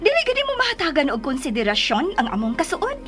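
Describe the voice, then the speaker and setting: A woman's voice: high voice, huge pitch swings, fast talker, over music. An actress playing an assertive, haughty young woman in a radio drama, with a pointed, demanding way of talking. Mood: pointed, insistent.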